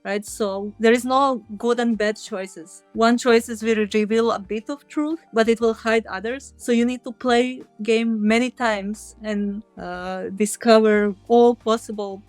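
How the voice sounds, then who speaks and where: Higher-voiced woman explaining, dry sound. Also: fairly even intonation, unhurried, hardly any pauses, dry recording, soft background music. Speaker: game developer. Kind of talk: interview answers, explanatory.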